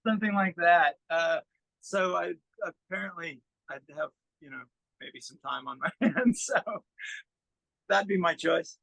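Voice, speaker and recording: A man speaking as a musician guest in a casual interview, thinking aloud in a halting way as he explains. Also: fairly high pitch, gravelly, fairly bright tone, no room sound, low-quality recording.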